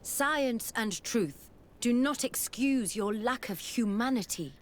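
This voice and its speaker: A woman's voice: fairly high pitch, lively intonation, slow. A woman playing a principled scientist in a game, speaking with firm, reproachful indignation. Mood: ashamed indignation. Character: principled female former student scientist, indignant.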